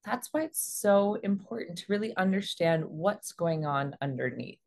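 Woman, 40s, fairly low voice, earnest and measured coach delivering instructive advice to camera. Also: expressive, a little mellow. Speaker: relationship coach and host. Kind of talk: explanatory advice talk to camera. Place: small indoor room.

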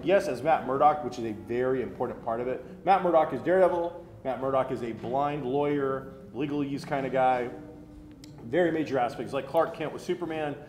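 An American man in his thirties hosting a casual geek culture chat, talking in an animated, chatty way. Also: mid-range voice, a little fast. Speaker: talk show host. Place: roomy indoor restaurant at a table. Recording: roomy echo, faint background noise.

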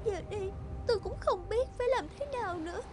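A woman's voice: very high-pitched, fast, loud music behind the voice, pitched above the performer's own voice. A woman voicing a sweet, anxious young fairy maiden in a cartoon, plaintive and exclaiming. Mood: tearful, despairing. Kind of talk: cartoon fairy-tale acting.

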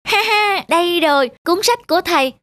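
A woman's voice: very high voice, expressive, normal speed, a bit bright, no room sound. A woman playing an eager, naive young monkey apprentice in a children's cartoon, with a childlike, earnest manner. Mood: mischievous, excited. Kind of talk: dubbed children's moral cartoon. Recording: music behind the dialogue.

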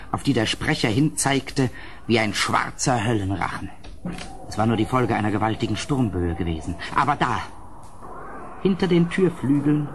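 A horrified gentleman played by a male actor in an old gothic audio drama. His voice is medium in pitch and theatrical, urgent as it swells and then drops to a hush. Faint noise lies on the recording. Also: close to an everyday voice. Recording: slightly noisy old studio recording.

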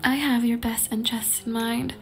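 A woman's voice: a little high, flat delivery, moderate pace, creaky, faint music behind. A woman voicing an obsessive, cruel popular schoolgirl in an ASMR roleplay, whispering breathily. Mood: menacing. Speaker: voice actress in yandere roleplay audio.

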